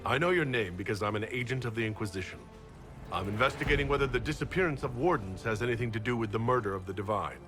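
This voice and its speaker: Man acting a fast-talking, formal investigating agent. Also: medium pitch, close to an everyday voice. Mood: probing. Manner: formal, measured wording, businesslike. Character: brisk, probing male agent of a fantasy inquisition. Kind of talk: dramatic fantasy game dialogue. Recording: prominent background music under the voice.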